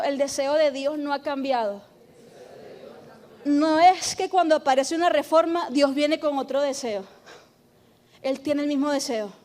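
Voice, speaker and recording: A woman pastor preaching a sermon, emphatic and loud, calling on the congregation to repeat after her. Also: high-pitched. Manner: shouting, emphatic preaching with call-and-response.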